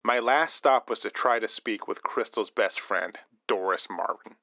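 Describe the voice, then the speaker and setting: A man's voice: lowish voice, unhurried, bright, forceful tone, dry recording, studio quality. A man playing an earnest radio reporter, narrating a scripted investigative story for a radio drama. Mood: measured, suspenseful. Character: earnest male radio reporter probing an eerie death, late 1980s. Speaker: reporter and radio show host.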